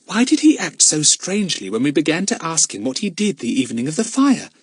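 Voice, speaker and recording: A man's voice: a little high, big pitch swings, a little fast, slightly rough, no echo, voice pushed up. A man voicing an eager, inquisitive young girl detective, earnest and self-important, in a children's audiobook. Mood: puzzled. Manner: earnest, questioning. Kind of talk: acted children's audiobook dialogue.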